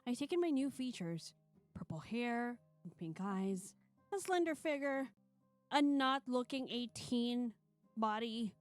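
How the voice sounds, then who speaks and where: A woman with a fairly high voice hosts a livestream, chatting casually and reading visual novel narration aloud with animation. Game music plays behind her voice. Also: lively intonation, measured pace, no room sound. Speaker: streamer.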